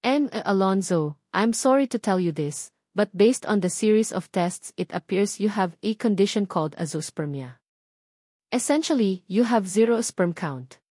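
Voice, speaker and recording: A woman narrator voicing a formal doctor in a story, grave and measured. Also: mid-range voice, lively intonation, dark tone, no room sound, studio quality.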